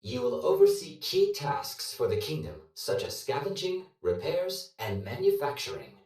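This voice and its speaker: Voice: mid-range voice, wildly swooping pitch, moderate pace, a little mellow. An actor voicing a dutiful, emotionless robot assistant in a video game, with formal, systematic delivery and robotic processing. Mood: formal, neutral. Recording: heavily echoing, distant processed sound.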